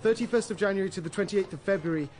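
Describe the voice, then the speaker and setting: A man's voice: high-pitched, rapid-fire, over a noisy crowd, close to an everyday voice. A man playing an officious parish clerk, reading records aloud in a clipped, impersonal way for a radio drama.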